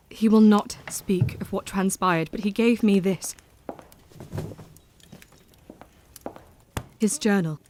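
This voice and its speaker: A woman's voice: medium pitch, a little mellow, lightly acted. A woman playing a frank, troubled but resolute Victorian wife in an audio drama, speaking formally and earnestly. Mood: troubled, confiding.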